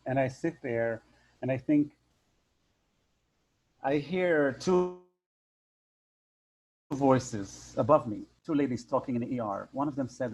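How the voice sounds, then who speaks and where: A man with a loud voice telling a personal story in a casual interview, acting out the voices of the people in it. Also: medium pitch, slightly rough. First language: Hebrew. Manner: animated storyteller, acts out voices. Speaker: hypnotherapist guest. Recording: dull lo-fi sound.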